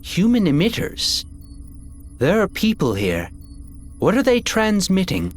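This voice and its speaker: A woman playing a composed, authoritative expedition leader in a video game, speaking in a measured way. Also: medium pitch, huge pitch swings, soft background music. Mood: questioning. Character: composed expedition leader taking charge of a crew. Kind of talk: video game cutscene acting.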